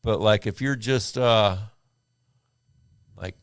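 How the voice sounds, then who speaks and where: A man's voice: fairly low pitch, takes it slowly, smooth, no room sound, studio quality. A man co-hosting a casual podcast, rambling loosely and laughing often. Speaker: co-host.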